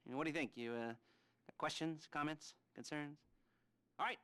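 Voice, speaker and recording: An actor playing an awkward, neurotic middle-aged criminal heist planner in a video game, with an American accent and a halting, nervous, wry delivery. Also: male voice, fairly high pitch, fairly bright tone, clean tone, no echo, lightly acted. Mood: brisk, wry. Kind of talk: video game cutscene acting.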